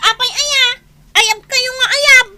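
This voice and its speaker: A woman's voice: extremely high pitch, steady tone, takes it slowly, pushed and bright, soft background music, full character voice. A woman with a Filipino accent playing a sulky, bickering young granddaughter in a whiny way for a radio drama. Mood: annoyed. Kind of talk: Ilocano radio drama acting.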